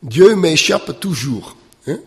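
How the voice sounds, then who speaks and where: Slow halting man, non-native, reverberant hall. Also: on the high side, big pitch swings. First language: not French. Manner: slow, halting, with frequent "hein" and "euh". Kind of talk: lecture on the Bible.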